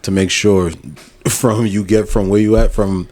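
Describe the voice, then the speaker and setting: A man chatting on a podcast as an artist, slangy and quick to agree with the others. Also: fairly low pitch, flat delivery, darkish tone. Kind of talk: casual podcast chat.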